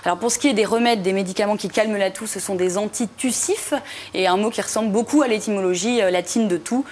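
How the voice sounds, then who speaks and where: A woman's voice: medium pitch, a little mellow, a little hiss or hum. A young woman with a French accent explaining the meaning and history of words in a TV studio segment, with many "euh" and "alors". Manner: fast, explanatory, frequent "euh" and "alors", light laughs. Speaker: language columnist.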